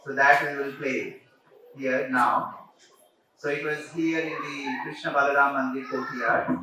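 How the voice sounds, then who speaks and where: A man's voice: mid-range voice, fairly even intonation, measured pace, background noise. A man making informal announcements at a temple ceremony, with fillers between phrases. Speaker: ceremony organizer. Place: open temple courtyard. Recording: distant, echoey mic with a crowd.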